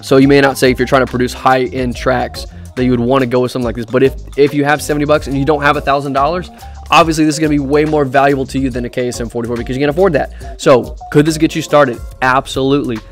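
A young man in a dark studio room, giving a casual review to camera, talking off-axis into a boom-arm condenser mic, with lots of ums and restarts. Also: steady tone, fast, soft background music. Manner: chatty, many ums and restarts. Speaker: drummer and gear reviewer. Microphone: USB condenser on a boom arm, speaking off-axis.

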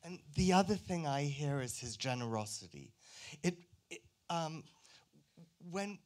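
A man's voice: a little high, lively intonation, a little slow, a little mellow. Reflective man in his sixties. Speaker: panelist. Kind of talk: reflective reminiscence. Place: museum auditorium stage. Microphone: handheld microphone.

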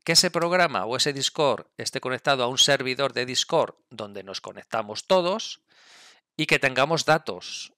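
A man's voice: mid-range voice, lively intonation, moderate pace, sharp tone, dry recording, studio quality. A middle-aged man with a Spanish accent from Spain explains things casually to camera on a headset mic, often checking in with listeners. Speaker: video creator, gamer and motorcyclist. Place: indoors at a desk with a computer. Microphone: gaming headset mic, close.

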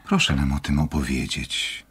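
An actor in a Polish radio play voicing a calm, methodical doctor, husky and measured, with a clinical manner. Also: male voice, a bass voice, heavily acted. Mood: soothing, probing. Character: calm, methodical male doctor leading an experiment.

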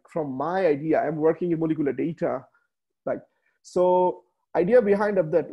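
A man in his thirties from Bangladesh, a native Bengali speaker with a Bangladeshi accent, giving a slide presentation on a video call, halting with many fillers and restarts. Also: on the high side, warm tone, husky. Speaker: webinar speaker. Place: small room indoors.

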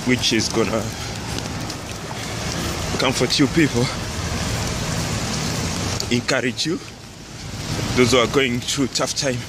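A man in his thirties whose first language is Swahili, vlogging slowly with many pauses while walking a rainy city street, phone held close. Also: mid-range voice, steady tone. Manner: slow, searching, with many pauses and fillers. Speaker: singer vlogging a studio trip. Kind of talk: casual vlog narration. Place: rainy city street outdoors, under an umbrella. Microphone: phone held close below the face. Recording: loud street and traffic noise.

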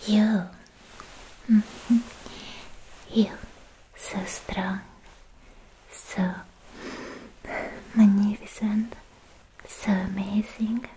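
A young woman whispering an ASMR roleplay as a sweet, protective, lovestruck demon slayer, softly and haltingly, close to the mic. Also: medium pitch, little pitch movement, poor audio. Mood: caring, adoring. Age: young adult. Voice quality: whispery, breathy soft voice. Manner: slow, halting, affectionate murmuring. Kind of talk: ASMR personal attention roleplay. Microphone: close microphone, whispering near it. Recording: faint hiss, mouth clicks, close-up.

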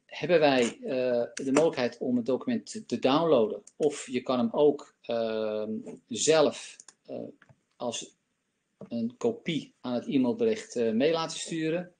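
Man, fifties, presenter, halting with fillers, laptop mic on video call, faint background noise. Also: medium pitch, a little mellow. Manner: hesitant, many fillers. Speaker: company presenter. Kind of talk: live software demo and Q&A. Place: home office, indoors. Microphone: laptop webcam on video call.

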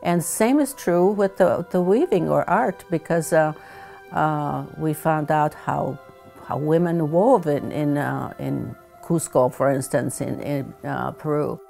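Older lady, low gravelly voice, lots of uhs, chatting at home about her weaving. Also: slow, dark tone, soft background music. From Croatia. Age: 70s. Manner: hesitant, many "uh" fillers, thoughtful. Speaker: weaver and retired teacher. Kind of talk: seated documentary interview. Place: home living room, indoors.

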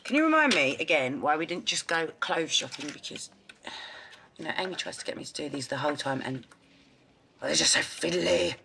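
An actress in her thirties with a London accent, playing a blunt, protective, quick-tempered woman caring for a girl. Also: deep voice, expressive, gravelly, a little hiss or hum, full character voice. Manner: blunt, sharp-tongued, coaxing when gentle. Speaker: stepmother figure. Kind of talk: soap opera acting. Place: home living room and street.

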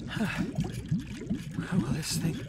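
Man acting beleaguered young adventurer, lively high-pitched voice. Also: very noisy, heavily acted. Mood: frustrated, anxious. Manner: talks to himself in halting, breathy asides with sighs. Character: beleaguered young male adventurer trapped in a dungeon. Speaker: voice actor. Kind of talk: fantasy audio drama solo monologue.

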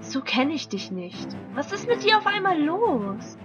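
A woman's voice: high voice, very animated, measured pace, lo-fi sound, over music. A young woman playing a mafia boss's indignant 21-year-old daughter, pleading and dramatic, in an audio drama. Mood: shocked.

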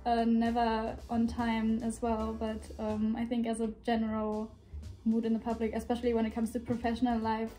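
A young German woman, eighteen, speaking accented English in a casual, halting way with lots of "um". Her voice is slightly high yet quite even in pitch, with a warm, dark tone. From North Rhine-Westphalia, Germany. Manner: hesitant, many "um" and "uh". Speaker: guest volunteer. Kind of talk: casual chat on camera. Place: indoor room with shelves.

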